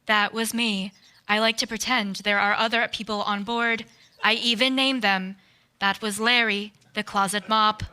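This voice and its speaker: A woman's voice: medium pitch, steady tone, a little slow, sharp tone, clear, resonant tone. A woman playing a sardonic, lonely rival spaceship captain in a live audio drama, with a dry, taunting, melodramatic manner. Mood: gloomy.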